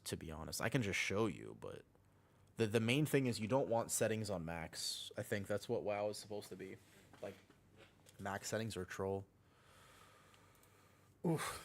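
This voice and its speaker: A man's voice: fairly low pitch, gravelly. A man chatting casually and slangily as he livestreams an online game, talking to his viewers and teammates in a laid-back way. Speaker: live streamer playing an online game. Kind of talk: casual livestream chat while gaming.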